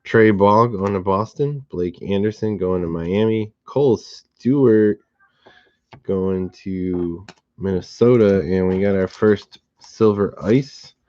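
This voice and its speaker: A slightly hoarse man hosting a card box break, calmly listing each card and who it goes to. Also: lowish voice, dry recording, highs cut off. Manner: steady, unhurried listing of cards and recipients. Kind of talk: relaxed live box-break commentary.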